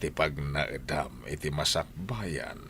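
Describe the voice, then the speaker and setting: An actor playing a slow, low-voiced elder male relative in an Ilocano radio comedy drama. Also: a bit bright, a little hiss or hum, full character voice. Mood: solemn.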